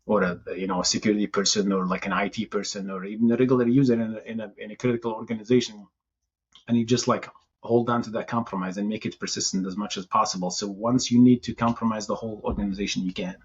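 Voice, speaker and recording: A Moroccan-born man whose first language is Tamazight, answering interview questions in a thoughtful, halting way, often restarting his phrases. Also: fairly low pitch, fairly even intonation, slightly rough, highs cut off. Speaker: city information security chief, guest.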